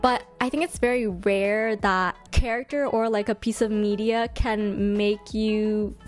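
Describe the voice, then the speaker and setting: A woman streamer giving casual, chatty fan commentary to her live chat in an expressive, slangy way. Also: medium pitch, a little slow, soft background music. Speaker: streamer hosting a ranking video.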